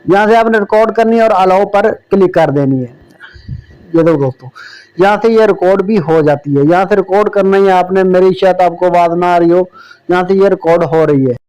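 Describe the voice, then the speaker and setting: A man's voice: sits high, a little fast, darkish tone, smooth, poor audio. A man narrating an informal app tutorial as the host of a video.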